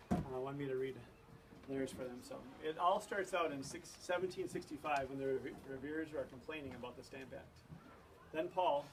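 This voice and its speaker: A man giving an informal introduction to a student show, speaking to an audience like a teacher. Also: fairly high pitch. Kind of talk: informal introduction speech to parents. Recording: noisy room, distant microphone.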